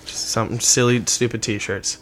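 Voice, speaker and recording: Man, mid twenties, average pitch, podcast host, casual comic chat, offhand, slangy, sweary. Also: level tone, normal speed, fairly bright tone, a little hiss or hum. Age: mid 20s.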